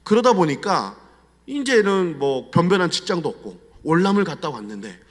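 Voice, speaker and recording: Animated man preaching in reverberant church. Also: on the high side, normal speed. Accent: Chungcheong Korean. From Chungcheong, Korea. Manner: animated, confiding storyteller. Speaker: guest pastor. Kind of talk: storytelling sermon. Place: church sanctuary. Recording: long church hall reverb.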